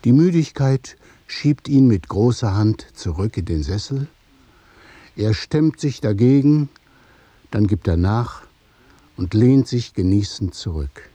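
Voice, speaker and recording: A man narrating a literary radio play in measured, observational short phrases. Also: lowish voice, lively intonation, warm tone, slightly rough, dry recording, heavily acted.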